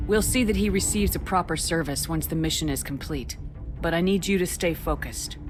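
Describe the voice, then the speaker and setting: Woman acting space marine commander, firm n composed, slightly low voice, game music behind. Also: brisk, lightly acted. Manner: assured, direct.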